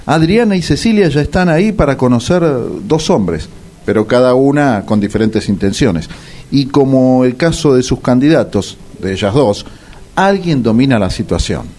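A man's voice: mid-range voice, expressive, normal speed, a little mellow. A man giving wry, playful scripted narration.

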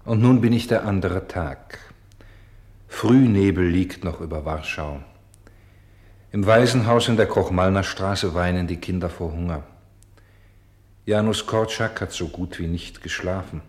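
A man playing an old weathered kerbstone in a radio play, speaking in a pensive, humble, hushed manner. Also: deep voice. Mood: somber narration. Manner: measured, hushed, dropping to whispers. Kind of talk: literary radio play monologue.

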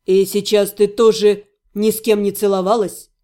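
A woman's voice: medium pitch, flat delivery, warm tone, voice pushed down, close to an everyday voice. A woman voicing a bitter young male necromancer, a jilted fiancé speaking with cold, weary restraint in an audiobook. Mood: weary, reproachful. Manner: bitter, deliberate, reproachful. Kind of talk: dramatized audiobook dialogue.

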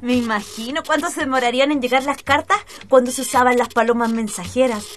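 A woman's voice: on the high side, quick pace, a bit bright, a little hiss or hum, lightly acted. A female performer playing a friendly, chatty schoolgirl with a Chilean accent in a radio drama. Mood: amused. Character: Cata, friendly apologetic schoolgirl.

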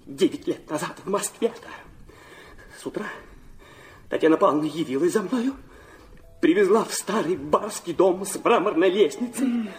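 An actor playing an impassioned, wounded young illegitimate son in a Russian radio drama, speaking fervently and haltingly. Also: male voice, high voice, animated, a little hiss or hum. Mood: wistful. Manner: fervent, halting, breaking into repetitions. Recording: orchestral violin music behind the voice.

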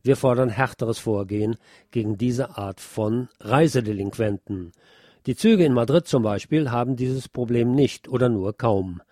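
A man's voice: mid-range voice, normal speed, mellow, no echo. Dry-sounding male radio news presenter. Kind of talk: scripted news narration.

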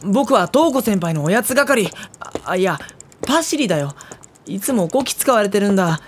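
A woman playing a gentle, diffident high school boy with a boyish voice in an anime audio drama. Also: big pitch swings, normal speed, breathy edge. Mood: flustered, protesting. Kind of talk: anime audio drama dialogue and inner monologue.

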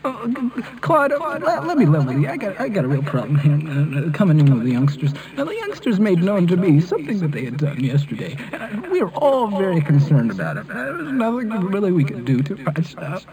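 Male prank caller, very lively pitch, hesitant, repetitive, pleading, recorded over telephone. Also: brisk, few pauses, crowd noise, poor audio. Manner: hesitant, repetitive, pleading, addressing listener by name. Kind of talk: prank phone call improvisation. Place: on a telephone call.

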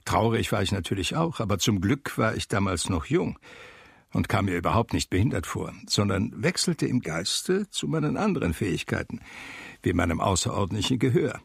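An actor playing a blind man from a cultured New York family, reminiscing with measured, articulate, gently wry delivery in a German radio drama. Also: fairly low pitch, big pitch swings, creaky, slightly rough, dry recording, clean studio sound, exaggerated voice. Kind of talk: German radio drama first-person narration.

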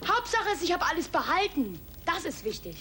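A woman's voice: very high-pitched, big pitch swings, brisk, sharp tone, slight background noise, exaggerated voice. A woman playing an eager, casual know-it-all child in a children's audio drama. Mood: eager, explaining. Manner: casual, colloquial. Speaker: performer in a German audio drama.

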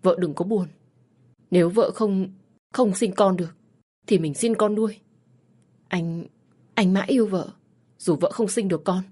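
A woman's voice: medium pitch, measured pace, pauses often, warm tone, husky. A woman voicing a devoted young husband who comforts his wife and pleads with his mother. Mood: tender. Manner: pleading, tender.

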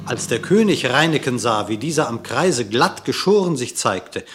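A man narrating a verse fable in a radio play, in a measured storytelling manner. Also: mid-range voice, expressive, a bit bright, faint music behind.

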